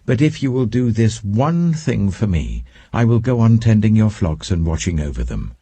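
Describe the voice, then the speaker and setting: Man playing an earnest, husky British herdsman. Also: on the low side, sing-song, normal speed, mellow, voice pushed up, heavily acted. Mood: firm, persuasive. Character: earnest, firm herdsman bargaining for wages.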